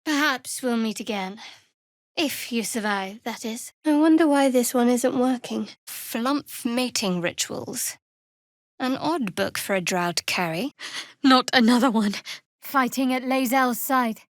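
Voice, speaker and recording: A woman voicing a guarded, sardonic young cleric of a dark goddess in a video game, with dry, clipped, wry delivery. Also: fairly high pitch, unhurried, dry recording, lightly acted. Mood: earnest, guarded. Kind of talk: video game character dialogue.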